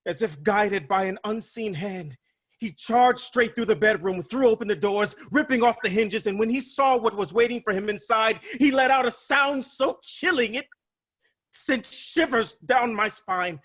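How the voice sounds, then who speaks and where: An actor playing a grim palace messenger, giving a grave and theatrical recitation in a staged reading held over a video call. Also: male voice, high-pitched, unhurried, a bit bright. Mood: grieving. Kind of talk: staged reading of a Greek tragedy.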